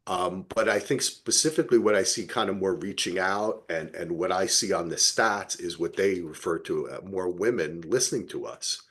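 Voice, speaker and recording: A man's voice: low voice, normal speed, hardly any pauses, fairly bright tone, husky. A man hosting a podcast, chatting casually and with enthusiasm. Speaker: podcast host. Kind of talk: casual chat.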